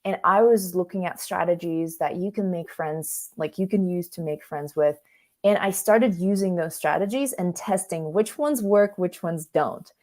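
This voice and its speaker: Woman from russia, native russian speaker, lowish voice, chatty career coach running an online workshop, keeps checking in. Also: normal speed, darkish tone. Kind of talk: conversational online workshop presentation.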